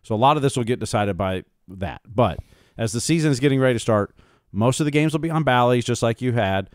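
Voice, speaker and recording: A man's voice: mid-range voice, lively intonation, fast, no echo, clean studio sound. A man co-hosting a sports podcast, casually chatting and handling listener questions.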